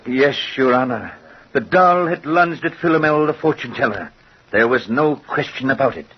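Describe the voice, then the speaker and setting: A man's voice: mid-range voice, lively intonation, moderate pace, lightly acted. Theatrical, sinister doll-maker in vintage radio horror, acted by a man. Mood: intense, haunted. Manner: theatrical, dramatic, sneering. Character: cruel, sardonic doll-maker father confessing to murder. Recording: muffled, noisy old radio recording.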